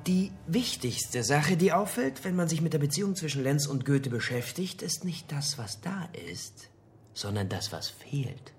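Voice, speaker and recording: An actor playing an investigator who briefs colleagues like an eager lecturer in a radio drama, husky and didactic, with emphatic pauses. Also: male voice, fairly high pitch, very animated, faint music behind.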